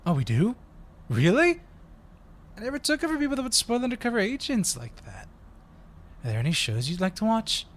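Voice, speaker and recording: A man's voice: on the high side, a little hiss or hum. A man playing an eager, friendly undercover agent in an audio roleplay, talking in a polite, chatty way. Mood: relaxed, playful.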